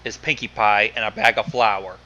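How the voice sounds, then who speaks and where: A man's voice: fairly low pitch, steady tone, moderate pace, pushed and bright, highs cut off. A man giving sarcastic, casual commentary during a story reading. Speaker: guest commentator.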